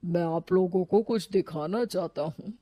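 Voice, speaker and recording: A man playing a calm, fatherly old hermit in a moral story, speaking soothingly and solemnly. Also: sits high, normal speed, no echo, clean studio sound. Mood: reassuring.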